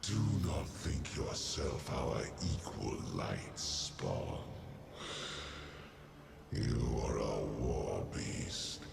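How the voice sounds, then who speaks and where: Menacing game villain: haughty alien warlord phantom, gravelly, ponderous delivery, very noisy echoing sound. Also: male voice, lively intonation, full character voice. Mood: contemptuous taunting. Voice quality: very deep, gravelly. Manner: slow, haughty taunting. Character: menacing, contemptuous alien warlord returned as a nightmare. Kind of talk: dramatic video game acting. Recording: echoing, heavy background noise.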